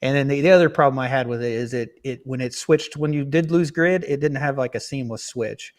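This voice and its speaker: A man's voice: medium pitch, a little fast. A man chatting on a livestream, frank and explanatory, often restarting his sentences. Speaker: guest on a livestream. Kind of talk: casual livestream chat.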